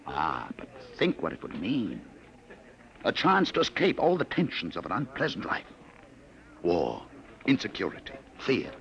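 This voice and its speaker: An actor playing a sinister male searcher from the future in a 1950s radio drama, taunting his targets with smooth, insinuating salesman patter. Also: sits low, huge pitch swings, unhurried, long pauses, fairly bright tone, exaggerated voice. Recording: old radio broadcast with faint hiss.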